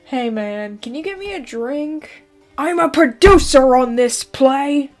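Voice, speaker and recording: A woman performing a comedic dub of a webcomic with a brisk, playful delivery and sudden loud outbursts. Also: sits high, animated, faint music behind. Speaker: fan dubber voicing a webcomic. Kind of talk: comedic comic dub, quick skits.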